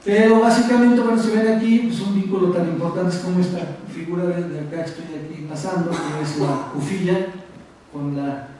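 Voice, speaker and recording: A very echoey, distant recording with faint noise carries a man speaking at a conference. His Mexico City accent comes in a high-pitched voice. He presents informally and haltingly, repeating words as he goes. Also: steady tone, a little mellow. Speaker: activist speaker on a conference panel. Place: university auditorium.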